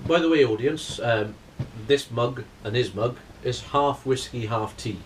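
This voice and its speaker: A man's voice: mid-range voice, unhurried, slight background noise, rough recording. A man co-hosting a casual chat, teasing and joking with his friends. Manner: jokey, teasing. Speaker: podcast co-host. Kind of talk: casual banter.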